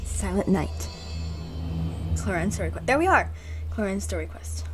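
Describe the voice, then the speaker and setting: A woman's voice: medium pitch, very animated, moderate pace, over music. A woman giving casual live gameplay commentary, muttering reactions and repeating herself. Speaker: game streamer.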